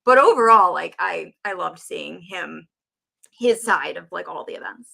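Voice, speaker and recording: A woman's voice: fairly low pitch, expressive, unhurried, gravelly, pushed and bright. A woman co-hosting a book discussion, talking in an informal, enthusiastic, conversational way. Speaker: book review show co-host. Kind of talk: casual chat about books.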